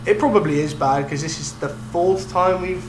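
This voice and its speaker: A man's voice: sits high, normal speed. A young man co-hosting a podcast, chatting casually in an informal, self-deprecating way. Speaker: podcast co-host. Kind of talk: casual chat. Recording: echoey room with background hum.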